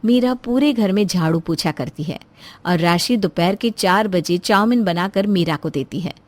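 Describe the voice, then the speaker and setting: A woman's voice: fairly low pitch, normal speed. A woman storyteller reading a scripted moral story as narration.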